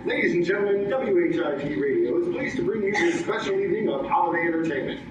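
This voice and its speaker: An actor playing a formal, dramatic announcer in the style of old-time radio. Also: male voice, sits high, animated, fast. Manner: theatrical, formal. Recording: dull, distant, echoing recording under loud music.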